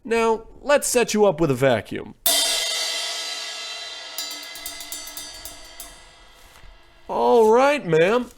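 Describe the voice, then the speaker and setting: Man playing animated, pushy con-artist salesman. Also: high-pitched, a little slow, gravelly, soft background music, heavily acted. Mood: sly, condescending. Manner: slick, patter-like, condescending. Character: smooth-talking, pushy, condescending conman door-to-door salesman. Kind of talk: old-time radio comedy acting.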